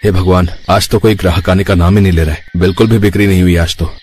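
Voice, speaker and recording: A man acts out a poor young vegetable seller in a cartoon moral tale. He sounds weary, plaintive and earnest, with a fairly low-pitched voice. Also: steady tone, fast, darkish tone, a little hiss or hum, natural voice. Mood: dismayed. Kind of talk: Hindi moral-story cartoon voice acting.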